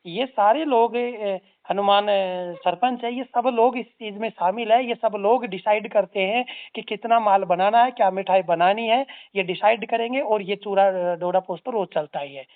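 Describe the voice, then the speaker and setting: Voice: mid-range voice, moderate pace, hardly any pauses. An activist giving a formal, stern, articulate admonishment over the phone. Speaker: anti-drug social organisation activist. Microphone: phone line. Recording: tinny narrowband phone-call recording.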